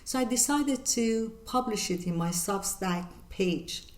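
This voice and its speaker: An older woman from northern Iran with a Persian accent, hosting her channel and answering viewer questions in an earnest, measured way with many pauses. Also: fairly high pitch, lively intonation, echoey room. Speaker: channel host. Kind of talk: reflective Q&A monologue.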